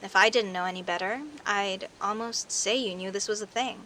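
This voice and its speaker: A woman playing a friendly, teasing young werecat neighbor in an audio roleplay, chatting playfully to the listener with hesitant pauses and theatrical emphasis. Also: medium pitch, steady tone, sharp tone, slight background noise, lightly acted, poor audio. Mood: relaxed, curious. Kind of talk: audio roleplay, casual one-sided conversation with the listener.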